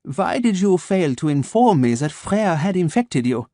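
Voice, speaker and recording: Man putting on a cold calculating scientist, crisp German accent, slow clipped delivery, dry close sound. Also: high voice, very animated, dark tone, no room sound, voice pushed up. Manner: slow, measured, coldly formal. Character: cold calculating male scientist, middle-aged.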